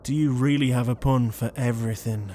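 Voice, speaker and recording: A man's voice: medium pitch, steady tone, fairly quick, mellow, a little hiss or hum, close to an everyday voice. A man playing an anxious, easily annoyed young ghost with a sarcastic manner, in a comedy audio roleplay. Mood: exasperated.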